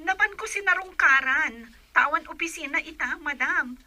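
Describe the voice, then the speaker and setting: A woman's voice: high-pitched, lively intonation, moderate pace, sharp tone, dry recording, exaggerated voice. A woman acting in an audio drama as a flustered woman who breaks troubling family news. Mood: nervous, deferential.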